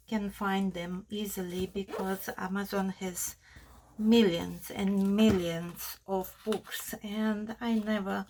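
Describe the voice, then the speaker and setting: Slow Romanian-accented woman with a gravelly voice. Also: mid-range voice, darkish tone, a little hiss or hum, rough recording. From Australia. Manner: halting, searching for words, many fillers. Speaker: craft tutorial host. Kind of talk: crafting tutorial narration.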